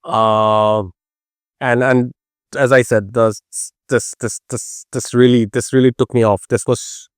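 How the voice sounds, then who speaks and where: Clear-voiced Indian man reviewing gear. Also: mid-range voice, level tone, dry recording, studio quality. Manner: halting, repeats words for emphasis. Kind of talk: casual unscripted product review. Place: outdoors in a garden beside a motorcycle.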